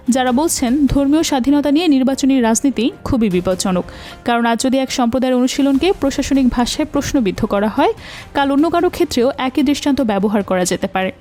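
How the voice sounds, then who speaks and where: A woman reading scripted political analysis in a measured, explanatory way, pausing to put questions to the viewers. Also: sits high, mellow. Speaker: news commentary narrator. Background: faint background music.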